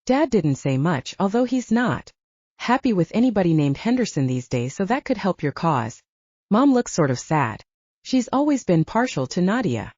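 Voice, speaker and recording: A woman's voice: fairly low pitch, sing-song, normal speed, a little mellow, dry recording, dull audio. A woman narrator voicing a cheeky, blunt younger male werewolf in an audiobook. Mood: blunt, teasing.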